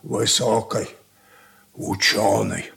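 An older actor playing an ailing, confused old merchant father in a Soviet radio play, speaking in halting, broken phrases. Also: on the low side, fairly even intonation, gravelly, fairly bright tone, heavily acted. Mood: weary, brooding.

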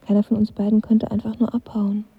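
An actress playing a guarded, teasing young woman in love, breathy and often half-whispering, in an intimate audio drama. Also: medium pitch, level tone, a little fast. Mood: earnest, vulnerable. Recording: dull studio drama sound.

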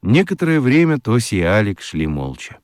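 A male narrator tells a children's story for radio. His low voice moves in lively swings, with dramatic pauses, in a dry, close recording. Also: no echo.

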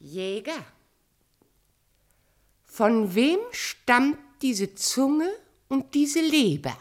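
Cruel queen, high voice, slow deliberate delivery, haughty, commanding, radio fairy tale. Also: sing-song, heavily acted. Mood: stern, scornful. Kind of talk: German radio play acting.

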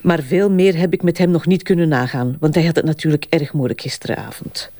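A woman's voice: deep voice, dark tone, no room sound, close to an everyday voice. Woman acting a cool, formal Flemish prosecutor, dry recording. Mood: businesslike. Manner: measured, formal, curt. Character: formal, cool, firm female deputy prosecutor. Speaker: deputy prosecutor. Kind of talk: radio drama acting.

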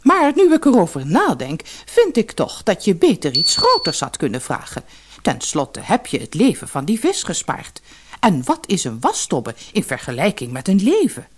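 A woman's voice: lowish voice, wildly swooping pitch, moderate pace, breathy edge, exaggerated voice. A woman voicing a demanding, never-satisfied fisherman's wife with haughty airs in a fairy-tale audiobook. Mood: dissatisfied. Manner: imperious, complaining.

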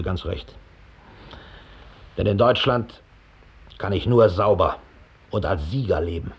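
An actor playing a cold, self-satisfied middle-aged former Gestapo officer in a measured, contemptuous radio play monologue. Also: male voice, sits low, heavily acted. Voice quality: gravelly, husky. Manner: measured, coldly matter-of-fact, contemptuous.

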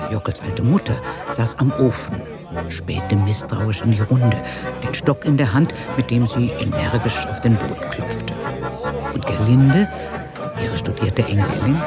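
An actor as the narrator of a radio drama, telling a village story in measured literary style. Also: male voice, on the low side.

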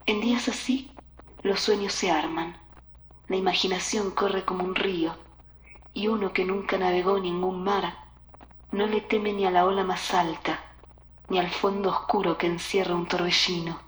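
A woman's voice: on the low side, steady tone, normal speed. A woman reading a poetic scripted narration for an experimental radio art piece. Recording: echoing voice with faint noise.

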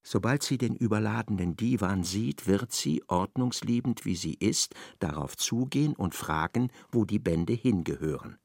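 Radio play narrator, creaky voice, very dry close sound, precise and measured. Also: male voice, fairly low pitch, expressive, darkish tone, no room sound. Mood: measured narration.

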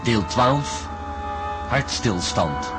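A man announcing a radio drama's title in a solemn, deliberate manner. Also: medium pitch, big pitch swings. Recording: loud music behind announcement.

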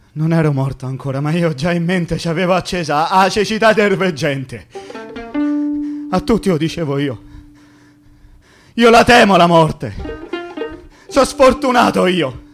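A dead labourer from a radio play, a fatalistic man with a Roman accent. His voice sits a little high for a man, colloquial yet theatrical, lamenting his lot over clear plucked-string music. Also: lively intonation, measured pace, long pauses. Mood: bitter lament. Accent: central Italian, Roman. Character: dead male worker, bitter, fatalistic, lamenting his bad luck. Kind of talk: poetic radio theatre monologue.

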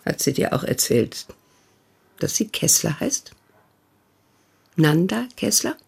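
A husky reproachful older sister. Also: female voice, sits low, sing-song, takes it slowly, full character voice. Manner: reproachful, probing, insistent. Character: older woman, protective prying sister. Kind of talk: radio play acting.

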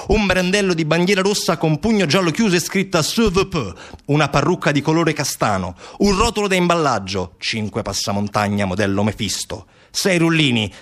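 An actor playing a blustering official in a theatre monologue, pedantic and repetitive, with stammered hesitations and rhetorical questions. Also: male voice, on the high side, fairly bright tone. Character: blustering male official justifying a police raid.

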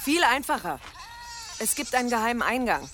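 An actress playing a defiant, sardonic village healer, mocking and sure of herself, in a radio drama. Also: female voice, fairly high pitch, very animated, normal speed, fairly bright tone, soft background music.

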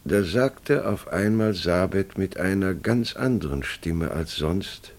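An actor playing a grave, bewildered family father who tells his story haltingly and deliberately in a German radio play. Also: deep voice, lively intonation, darkish tone. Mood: hushed, bewildered.

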